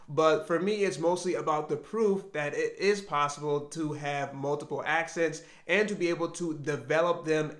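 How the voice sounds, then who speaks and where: An American man speaks in an earnest, reflective way, as if thinking aloud. His voice sits a little high for a man. The room around him carries a noticeable echo. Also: fairly bright tone. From United States. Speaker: language learning vlogger. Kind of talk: reflective personal vlog.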